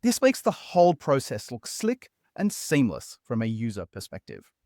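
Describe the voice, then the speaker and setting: A man hosting a software tutorial as a scripted voice-over, explaining steps in an upbeat, conversational way. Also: medium pitch, huge pitch swings, moderate pace, dry recording, clean studio sound. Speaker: tutorial host.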